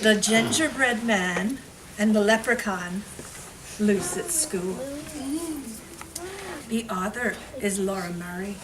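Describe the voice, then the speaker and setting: Woman reading aloud to little kids, simple dramatic delivery, mic far away, lots of room noise. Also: mid-range voice. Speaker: elementary school read-aloud storyteller. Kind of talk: storytelling to young children. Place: school room with children. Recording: distant mic, noisy room.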